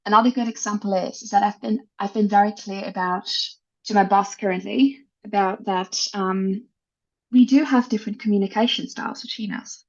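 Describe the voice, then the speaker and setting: A woman's voice: mid-range voice, steady tone, brisk, highs cut off. A woman from the Netherlands whose first language is Dutch, talking frankly and thoughtfully as an interview guest about her work. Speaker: guest, head of people team. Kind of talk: casual interview talk.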